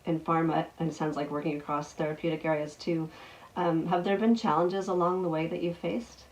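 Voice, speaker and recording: A woman's voice: low-pitched, fairly even intonation, fairly quick, darkish tone, recorded from a distance, a little hiss or hum, rough recording. A woman interviewer asking a question in a conversational, slightly hesitant way.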